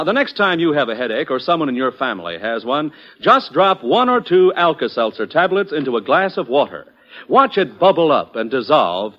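An American man delivers an old-time radio commercial with widely swinging intonation and a fairly high voice. His announcing is polished and persuasive. The sound is muffled, as on a 1940s broadcast recording. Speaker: radio announcer reading sponsor message. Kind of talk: 1940s scripted radio advertising.

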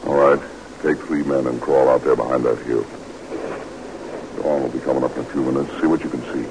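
A gruff airborne officer with a very deep, creaky, gravelly voice. He is terse and commanding, in the manner of classic radio drama. The old broadcast is heavily noisy, with a droning engine. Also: steady tone, fairly quick. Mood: stern. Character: gruff male airborne officer narrating a night parachute jump. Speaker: narrator and officer. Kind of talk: 1940s-50s radio drama acting.

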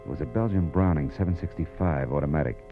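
Male private detective, low-pitched, cool and commanding, terse noir delivery, dull vintage radio sound. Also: expressive, fast talker, vocal fry, exaggerated voice. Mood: tense, brooding. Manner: clipped, tough, world-weary. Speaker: lead actor and narrator. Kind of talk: 1940s radio noir acting and narration. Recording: muffled old radio recording with music.

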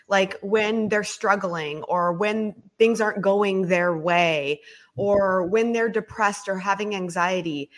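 A woman co-hosting a live audio talk show, her voice mid-pitched. She talks quickly and eagerly as she poses her questions. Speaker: co-host.

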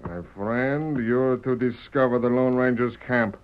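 A man's voice: medium pitch, unhurried, clean tone, natural voice. Guy doing a smug bandit boss in an old radio western, sardonic and bossy, muffled sound. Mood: scheming, commanding. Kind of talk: old-time radio western villain acting. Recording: dull vintage radio recording.

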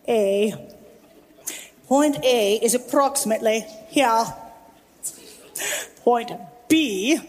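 A woman performing a comic theatrical stage monologue as a mock lecture, with emphatic pauses. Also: high-pitched. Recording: reverberant concert hall, clear noise.

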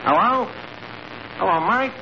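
An actor playing a brash, scheming tavern manager in a 1940s radio comedy, with a New York accent and a bluffing, word-mangling way of talking. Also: male voice, high-pitched, animated, slow pace, full character voice. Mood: breezy, bluffing. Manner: bluffing, mangles grammar and fancy words. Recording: noisy muffled old radio broadcast, audience behind.

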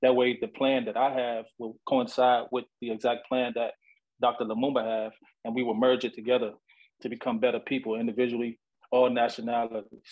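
A man who is a barber, life coach and author, answering interview questions in an earnest, steady way. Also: medium pitch, brisk, phone-quality sound.

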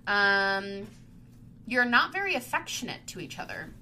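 Bright chatty woman in her twenties. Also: mid-range voice, big pitch swings, a little slow, a little hiss or hum. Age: 20s. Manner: chatty, fills speech with "like". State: congested from sinus trouble. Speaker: podcast co-host. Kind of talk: casual chat.

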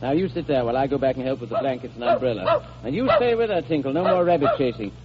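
A man playing a devoted, sorrowful father in a vintage American radio drama, speaking earnestly and tenderly, with a halting delivery. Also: medium pitch, lively intonation, a loud crowd, close to an everyday voice. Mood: cheerful, playful. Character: devoted, sorrowful father shielding a dying child with fairy tales. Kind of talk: 1930s-40s American radio drama acting. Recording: muffled old radio recording with hiss.

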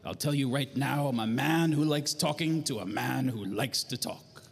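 An actor playing a smug, talkative middle-aged nightclub owner with affected refinement, in a 1940s-style noir radio drama. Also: male voice, mid-range voice, moderate pace, vocal fry, husky. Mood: jovial, sly. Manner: affectedly refined, loquacious, chuckling.

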